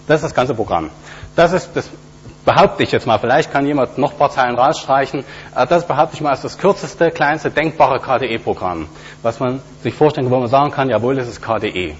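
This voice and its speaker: A man lectures on programming, pausing often with fillers as he walks through code on screen. The recording is dull and lo-fi, with a faint hum underneath. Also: medium pitch. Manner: hesitant, many fillers, explanatory. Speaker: conference speaker. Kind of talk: technical talk with live demo.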